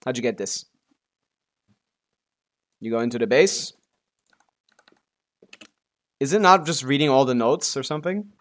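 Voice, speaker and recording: A man's voice: mid-range voice, animated, unhurried, long pauses, fairly bright tone. A man giving casual, offhand live commentary as a game streamer, muttering to himself and swearing freely.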